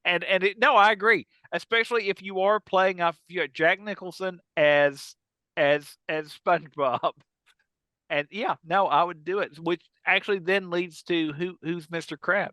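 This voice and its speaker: A man hosts a loose comedy discussion in a bright, crisp voice. He works ideas out aloud, haltingly, doubling back on his words, and the sound is close and dry. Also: high-pitched, steady tone, no room sound. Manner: halting, thinks out loud, repeats himself. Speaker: podcast host. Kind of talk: casual comic discussion.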